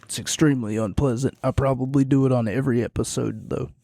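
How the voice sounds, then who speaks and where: A man hosting a solo podcast, rambling and self-deprecating, his voice going hoarse and quiet. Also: mid-range voice, steady tone, fast, dry recording, clean studio sound. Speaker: podcast host. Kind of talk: casual solo podcast recap.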